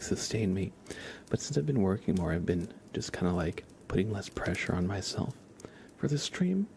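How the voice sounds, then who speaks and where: Hushed, low, creaky-voiced man. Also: a little hiss or hum. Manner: gentle, hushed delivery, often dropping into whispers. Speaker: ASMR livestream host. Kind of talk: soft-spoken ASMR livestream chat.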